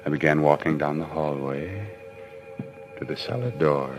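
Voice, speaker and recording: A man's voice: a bass voice, moderate pace. An actor playing a tormented, menacing hunter husband losing his sanity, in a theatrical, intense old-time radio thriller style. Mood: brooding, trancelike. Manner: theatrical, sarcastic, brooding, intense. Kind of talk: old-time radio thriller acting and first-person narration. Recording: old radio recording with music behind.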